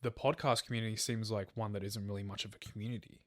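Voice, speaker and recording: A man's voice: lowish voice, fairly even intonation, fast talker, a bit bright. A man with an Australian accent banters casually as a podcast co-host, informal and prone to swearing.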